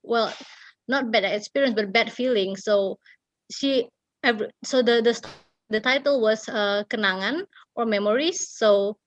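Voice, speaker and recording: Higher-voiced Indonesian woman, halting storyteller. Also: no echo, lo-fi sound. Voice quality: halting, searching for words. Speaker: writer and guest storyteller. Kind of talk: casual storytelling in an online talk.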